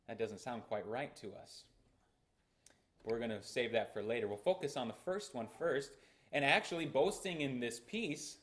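Young man, American pastor, emphatic sermon delivery, small church, camera mic. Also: medium pitch. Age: young adult. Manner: earnest, emphatic preaching with hand gestures. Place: small church sanctuary, indoors. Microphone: fixed camera at the front of the church.

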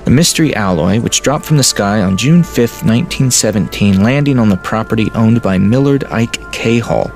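An earnest young man plays an inquisitive farm son in an audio drama. His pitch swings in a lively way, a touch hesitant, with faint music underneath. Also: normal speed, vocal fry, few pauses. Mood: curious, puzzled.

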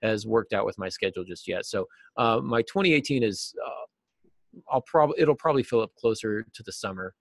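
Low-pitched man, dry close sound. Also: moderate pace, no room sound. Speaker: guest, novelist and podcast host. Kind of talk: conversational interview advice. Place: small cluttered office, indoors.

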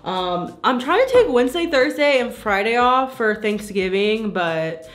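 A young woman chats straight into her camera, quick to joke and laugh. Her voice sits a touch high and the sound is close and casual, like a phone held at arm's length. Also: very animated. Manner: chatty, joking, frequent laughs. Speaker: vlogger. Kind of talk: casual vlog chat. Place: home room and car.

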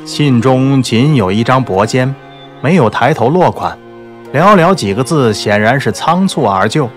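Measured male audiobook narrator with music. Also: mid-range voice, animated. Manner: measured, literary storytelling. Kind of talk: dramatic scripted novel narration. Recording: soft background music under the voice.

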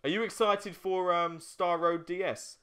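A man's voice: high voice, steady tone, a little slow, sharp tone, clear, resonant tone. A man co-hosting a let's play, giving chatty, casual gaming commentary with some swearing.

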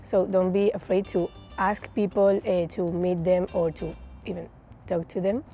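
A woman's voice: lowish voice, level tone, normal speed, warm tone, soft background music. A young woman from northern Spain, giving earnest, reassuring advice with small hesitations. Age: young adult. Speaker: student. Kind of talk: casual interview answers. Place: residence lounge, indoors.

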